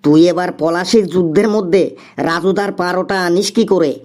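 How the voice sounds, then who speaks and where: A man's voice: sits high, a little fast, a little mellow, close to an everyday voice. A man voicing an exasperated, boastful schoolteacher in a comic cartoon, grumbling self-importantly on a noisy track. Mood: grumbling, scheming. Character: exasperated male schoolteacher, boastful, scheming to outwit pupil.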